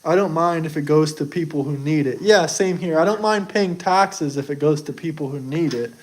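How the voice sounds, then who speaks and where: Young man, from the US, higher-pitched, boastful, defensive, casual stream talk. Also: normal speed. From United States. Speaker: streamer answering chat. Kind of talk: casual live chat.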